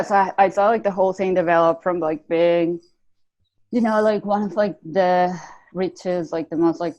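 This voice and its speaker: A woman's voice: deep voice, darkish tone, highs cut off. A woman from Venezuela with a Venezuelan Spanish accent, chatting casually as a guest and rambling with lots of "like". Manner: rambling, heavy on "like" fillers. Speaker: guest artist. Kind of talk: casual interview chat.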